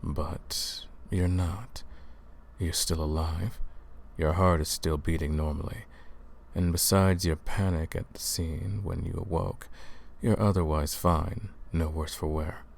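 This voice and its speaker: A man playing a centuries-old vampire hunter in a roleplay audio drama, stern and commanding, speaking deliberately and questioning the listener. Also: low voice, fairly even intonation, creaky, full character voice. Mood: suspicious, intrigued.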